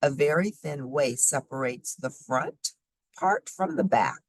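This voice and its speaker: Slow, husky low-pitched woman reading aloud. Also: huge pitch swings, dry recording. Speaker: host reading children's science books aloud. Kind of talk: read-aloud of a children's nonfiction book.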